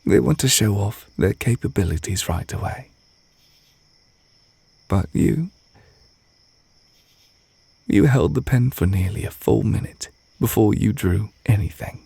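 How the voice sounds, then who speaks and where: A man voicing a tender, reserved witch teacher in a romantic ASMR roleplay, speaking softly and hesitantly, close to the mic with crickets behind. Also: fairly low pitch, animated, vocal fry, husky, dry recording, heavily acted. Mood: wistful, tender. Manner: soft, intimate, hesitant, gently measured. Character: tender, reserved male witch teacher confessing love to partner. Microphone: close microphone.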